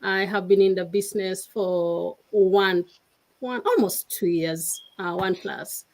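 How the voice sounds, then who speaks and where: A woman introducing herself hesitantly on a video call, pausing with fillers. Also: medium pitch, darkish tone, rough recording. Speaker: guest on the call. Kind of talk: casual self-introduction.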